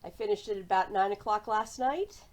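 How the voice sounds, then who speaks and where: A woman's voice: mid-range voice, moderate pace. An American woman chatting casually and cheerfully to viewers as the host of a hobby vlog. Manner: conversational, cheerful. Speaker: cross-stitch vlogger and host.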